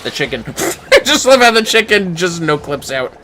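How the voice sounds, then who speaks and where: A man's voice: high voice, sing-song, moderate pace, very noisy. A man hosting a casual game livestream, commenting in an amused, sweary, wry way. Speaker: livestream host. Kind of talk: casual game commentary, reading dialogue aloud.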